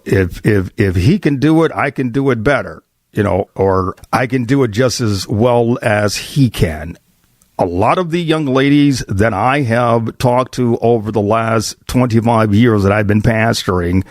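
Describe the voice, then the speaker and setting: Middle-aged male host and pastor for roundtable interview; deliberate, halting delivery, close dry recording. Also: on the low side, darkish tone, dry recording. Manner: deliberate, halting, repeats words.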